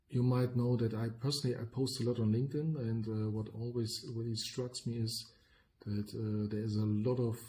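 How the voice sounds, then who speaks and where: A middle-aged man with a German accent, co-hosting a podcast and explaining business topics in a measured way, often checking in with "right?". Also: mid-range voice, mellow. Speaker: podcast co-host, business consultant.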